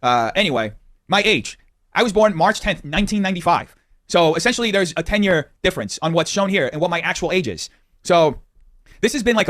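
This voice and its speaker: A man's voice: fairly high pitch, a bit bright. A man in his thirties talking defensively on a podcast, swearing casually and filling with "like". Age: 30s. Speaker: podcast host.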